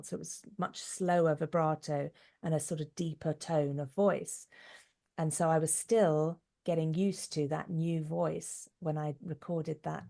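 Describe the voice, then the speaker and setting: A woman in middle age speaks as an interview guest. Her voice sits low, with some gravelly fry at the edges. She answers conversationally, in an articulate and thoughtful way. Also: warm tone. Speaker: interview guest, actress, writer and singer.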